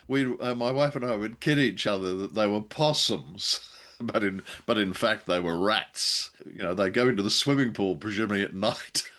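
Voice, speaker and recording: A male podcast host with a low voice. He talks casually, with a dry, playful way of putting things. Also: a bit bright. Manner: wry, playful. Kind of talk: casual, jokey chat.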